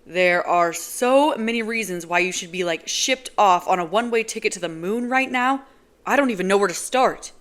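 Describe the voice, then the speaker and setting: A woman voicing a hot-tempered young man who shouts in exasperation. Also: mid-range voice, animated, fairly quick, voice pushed up, natural voice. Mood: furious.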